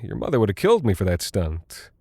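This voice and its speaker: An actor playing a rattled, folksy American cargo pilot who talks in a halting, confiding way, like a personal audio log in a horror drama. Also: male voice, lowish voice, huge pitch swings, gravelly, dark tone, breathy edge, no room sound, studio quality, heavily acted. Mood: wistful, drowsy. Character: weary, rattled male military cargo pilot, chatty and folksy.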